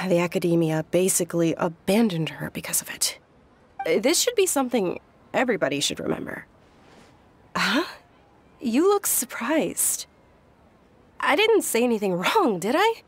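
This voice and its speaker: A woman voicing a polite, gentle and earnest young noble lady in a video game. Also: mid-range voice, big pitch swings, moderate pace, long pauses, a little hiss or hum, close to an everyday voice. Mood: unsure.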